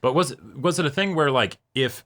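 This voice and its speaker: A man's voice: mid-range voice, normal speed, fairly bright tone. A man co-hosting a reaction show, chatting casually and agreeably with frequent short interjections. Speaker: co-host of an anime reaction show. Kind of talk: casual chat, fan analysis of an anime episode.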